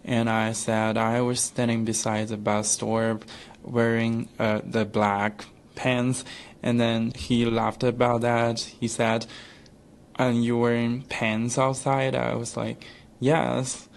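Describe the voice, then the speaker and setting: Young guy on campus radio, slow and monotone, lots of uhs, faint hiss behind. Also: medium pitch, gravelly. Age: young adult. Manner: hesitant, many pauses and uhs. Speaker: student radio co-host. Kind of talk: casual student radio chat.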